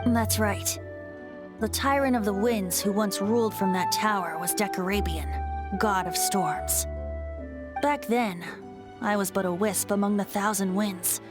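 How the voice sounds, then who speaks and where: A woman's voice: mid-range voice, fairly even intonation, a little slow, lightly acted. Woman doing a cheeky young bard boy who's really a wind spirit, storytelling, music playing behind. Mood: wistful. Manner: lighthearted, lyrical storytelling. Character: youthful male wind spirit bard, playful. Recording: game audio with clear music.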